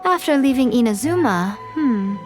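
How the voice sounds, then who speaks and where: A woman voicing a composed, wise and courteous fox-spirit familiar in a video game, speaking in a measured, explanatory way. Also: a little high, animated, natural voice. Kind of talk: video game character acting, storytelling dialogue. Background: background music.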